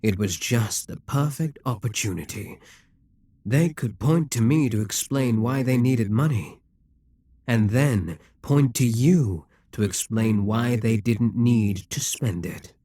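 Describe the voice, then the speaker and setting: Male actor for a possessive demon king, audio roleplay, mid-pitched husky voice, slow measured persuasion. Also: dry recording, clean studio sound. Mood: persuasive. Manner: slow, measured, persuasive, with quiet whispered asides.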